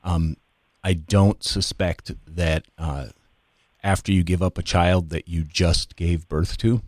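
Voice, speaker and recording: An American man, a podcast guest, telling his personal story in conversation in a measured, deliberate way with pauses. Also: sits low, fairly even intonation, a little mellow, no room sound.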